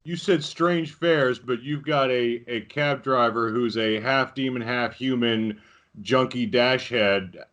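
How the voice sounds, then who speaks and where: A man hosts in a bright, forceful voice set at a middle pitch. His talk is informal banter, joking and teasing. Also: slow pace. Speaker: podcast host. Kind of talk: casual banter.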